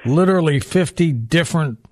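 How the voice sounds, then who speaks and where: A man's voice: on the high side, lively intonation, a little fast, no room sound, clean studio sound. A man hosting a radio call-in show, interviewing in a conversational way with short questions. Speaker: radio host.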